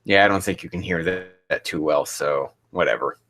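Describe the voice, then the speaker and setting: A man's voice: deep voice, moderate pace, pushed and bright, faint music behind. A man casually reading aloud and commenting on a live stream, rambling, swearing and sounding exasperated as he mocks the writing.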